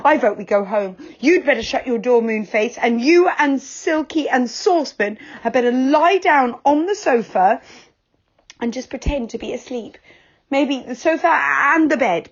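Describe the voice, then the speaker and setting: A woman voicing a sensible young schoolboy who gives brisk, bossy instructions in a raised voice. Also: mid-range voice, darkish tone, breathy edge, voice pushed up. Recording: dull lo-fi sound.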